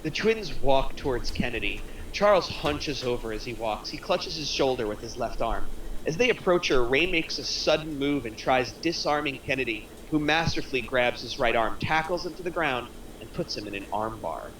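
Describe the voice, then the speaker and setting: A man's voice: mid-range voice, animated, normal speed, fairly bright tone. A man reading screenplay action lines aloud as the narrator of a table read. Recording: distant mic, faint background noise.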